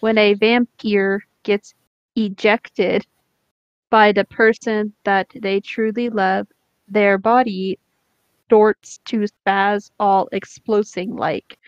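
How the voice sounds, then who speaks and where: A woman bantering casually and reading aloud haltingly as a co-host among friends. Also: medium pitch, flat delivery, clean tone. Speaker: co-host reading bad fan fiction aloud. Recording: dull, roomy home recording.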